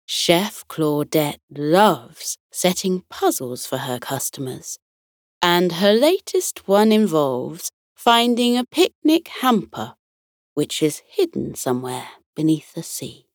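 A slow, calm, courteous bear captain from a bedtime storybook, played by a woman, deep and soothing, with swaying pitch. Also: no room sound, clean studio sound, full character voice. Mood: inviting, unhurried. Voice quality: deep, calming. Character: kindly, calm male teddy bear sea captain.

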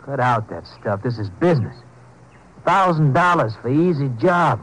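An actor playing a slick, pushy criminal with a businesslike, wheedling manner in a 1950s radio drama. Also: male voice, mid-range voice, expressive, moderate pace, fairly bright tone. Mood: persuasive, pushy. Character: slick, pushy criminal schemer hiring a killer. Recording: noisy old 1950s radio recording.

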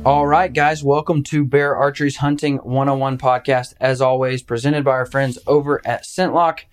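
A man's voice: mid-range voice, flat delivery, normal speed, soft background music. A young man from Arkansas hosting a hunting podcast in a casual, joking, rambling way. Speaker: podcast host. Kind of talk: casual chat.

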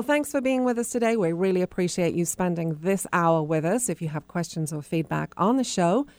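A woman presents a radio show in a slightly low voice. The sound is close and dry, as a host addressing guests and listeners. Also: darkish tone, dry recording. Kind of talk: radio interview and sign-off.

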